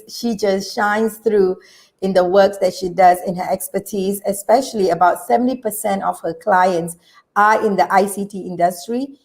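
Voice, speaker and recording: A woman hosting an online interview, excited and bubbly in her delivery. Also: mid-range voice. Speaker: host.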